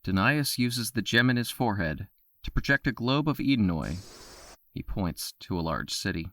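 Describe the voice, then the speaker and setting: A man giving steady, descriptive scripted narration for an audio drama. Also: lowish voice, moderate pace, no echo.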